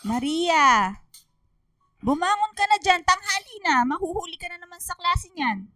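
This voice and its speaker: A woman's voice: very high-pitched, lively intonation, unhurried, pushed and bright, no echo, clean studio sound, heavily acted. A woman acting an emotional young mother in a radio drama, pleading and scolding. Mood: nagging, caring. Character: young pregnant girlfriend, later a nagging, caring mother.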